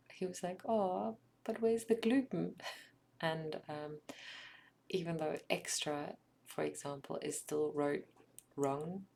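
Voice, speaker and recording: A South African woman whose first language is Afrikaans, narrating a craft project in a soft, often whispered ASMR style with frequent ums. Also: low-pitched, vocal fry, slight background noise. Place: home craft table.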